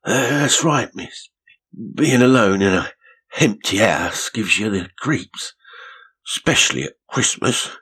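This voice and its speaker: A man reading aloud, voicing a shabby, nervous little male caretaker with a husky voice and rough grammar. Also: lowish voice, lively intonation, slow, pushed and bright, voice pushed up, full character voice. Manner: nervous, working-class grammar.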